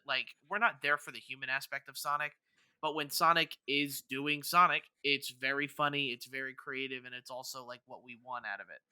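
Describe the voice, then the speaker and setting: A man's voice: mid-range voice, bright, forceful tone, clear, resonant tone, no echo. A man co-hosting a podcast in casual chat, thinking aloud with hesitant starts and repeated words. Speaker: podcast co-host. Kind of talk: casual chat, debating video game movies.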